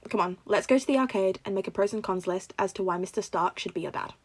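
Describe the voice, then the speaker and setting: Woman playing teen boy, casual, teasing, earnest best friend, audiobook dialogue, middling pitch. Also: fast talker, breathy edge. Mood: supportive.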